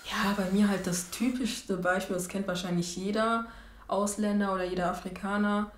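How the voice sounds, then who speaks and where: A young woman in her twenties talking in a documentary interview, thoughtful and hesitant, often pausing to rephrase. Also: medium pitch, steady tone, echoey room, slight background noise. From Germany. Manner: thoughtful, hesitant, many fillers and restarts. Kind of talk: reflective documentary testimony. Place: small room indoors and street outdoors.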